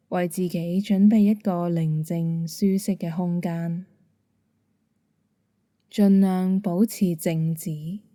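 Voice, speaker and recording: A woman leads a bedtime meditation with a Hong Kong Cantonese accent. Her voice is slightly low and very mellow, recorded close and dry. She gives each instruction gently and calmly. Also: no room sound. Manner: calm, soothing, gentle instruction. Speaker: meditation guide. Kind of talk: guided bedtime meditation narration.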